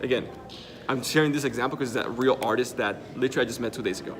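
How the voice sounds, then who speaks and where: A man's voice: medium pitch, racing, crowd noise. A man from the Dominican Republic, a native Spanish speaker with a Dominican Spanish accent, coaching emphatically and repeating key points. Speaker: digital marketing host. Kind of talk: conversational coaching.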